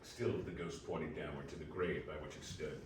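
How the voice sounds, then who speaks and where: A man's voice: a bass voice, fairly even intonation, normal speed. A man giving scripted storytelling narration as narrator of a readers theater piece. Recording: very echoey room, distant mic, faint noise.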